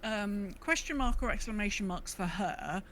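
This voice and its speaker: A woman co-hosts a gaming podcast in a casual, conversational way. Her voice sits at an ordinary middle pitch, and she talks as if chatting with friends about the game. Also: normal speed, over a noisy crowd. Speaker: podcast host. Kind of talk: casual chat about an online video game.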